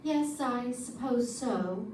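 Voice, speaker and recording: A woman's voice: a little high, lively intonation, slow pace, darkish tone. A woman playing a composed, calculating wealthy widow in an old-time radio detective drama, poised and pointed. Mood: cool, composed. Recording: roomy, distant stage recording, faint noise.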